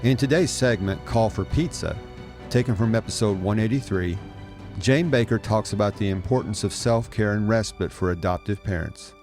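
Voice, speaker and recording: A middle-aged man narrating a scripted podcast as host, in a measured, encouraging manner. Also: fairly low pitch, a little mellow, faint music behind. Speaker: podcast host, adoption life coach.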